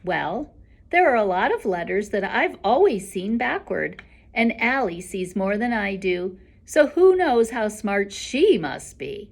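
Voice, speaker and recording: A woman voicing a nerdy, clever, calmly confident schoolboy with a deliberate, pointed delivery in a storybook. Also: medium pitch, vocal fry, husky, heavily acted.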